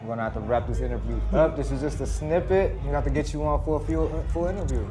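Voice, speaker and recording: A man's voice: fairly high pitch, fast talker, background noise. A man hosting interviews at a studio meetup, upbeat and introducing his guests. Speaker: interview host. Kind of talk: event interview. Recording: dull sound, music behind.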